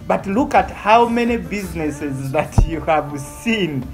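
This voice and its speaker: A woman's voice: on the low side, expressive, takes it slowly. A woman with a Ugandan accent narrates a travel vlog casually, repeating phrases for emphasis. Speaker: vlogger. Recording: music under the voice. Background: music.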